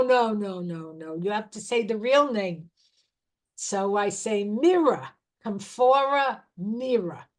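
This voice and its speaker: A middle-aged woman talking informally about herbal remedies, explaining slowly and restarting her sentences as she goes. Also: mid-range voice. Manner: slow, unhurried explaining with restarts. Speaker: herbal educator.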